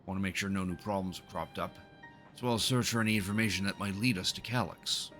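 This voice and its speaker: Male streamer, deep and forceful, casually narrating on-screen game dialogue, soft music underneath. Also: fast talker. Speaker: livestreamer playing a game. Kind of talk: reading game dialogue aloud with casual asides. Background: video game music.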